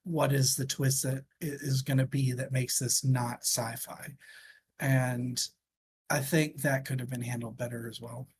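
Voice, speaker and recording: A man's voice: medium pitch, level tone, a little slow, noisy background. A man co-hosting a casual, conversational book discussion. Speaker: book podcast co-host.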